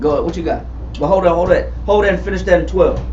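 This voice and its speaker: A man in his forties teaching a Bible study, emphatic and repetitive, calling on readers and building to shouts. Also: high voice, racing, slight background noise. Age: 40s. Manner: emphatic, repetitive, calls for readings, rising to shouts. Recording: far-off echoey room, muffled and lo-fi.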